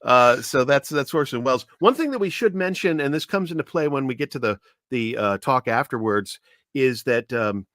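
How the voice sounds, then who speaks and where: A man's voice: medium pitch, lively intonation, normal speed, a bit bright, no echo. A man co-hosting an online show, chatting casually and telling anecdotes in a conversational way on a close microphone. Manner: conversational, acts out quoted lines. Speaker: co-host and commentator.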